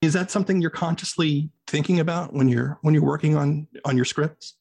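Husky male podcast interviewer. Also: fairly high pitch, a little fast, darkish tone. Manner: thoughtful, roundabout questions. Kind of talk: conversational interviewing.

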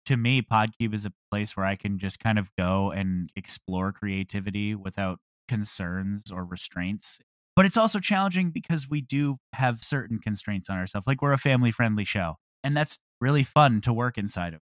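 A man with a somewhat low voice speaks as a guest in an interview. He talks casually and reflectively, in a very dry recording. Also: normal speed, dry recording. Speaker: podcast creator, interview guest.

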